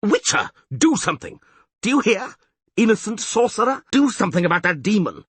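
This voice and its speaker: A man puts on a blustering middle-aged mayor who yells in a high, frantic, swooping voice. His tone is husky, and the reading is dry and close. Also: wildly swooping pitch, moderate pace, no echo, heavily acted. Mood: frantic, furious. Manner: shouting, frantic.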